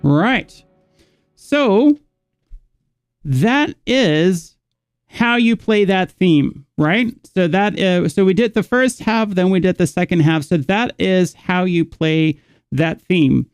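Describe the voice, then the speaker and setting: Man, guitar teacher, slow, gravelly, rambling, dry close sound. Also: high-pitched, animated, no room sound. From Netherlands. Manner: rambling, thinking aloud with frequent restarts. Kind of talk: live online guitar lesson.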